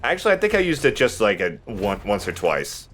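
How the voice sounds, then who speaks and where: A man with a fairly low voice commentates casually over game music as he plays. His delivery swings around a lot, and he jokes and swears freely as he goes. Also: huge pitch swings, brisk, a bit bright. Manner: joking, profane. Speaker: let's play host playing the game. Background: game music behind the voice.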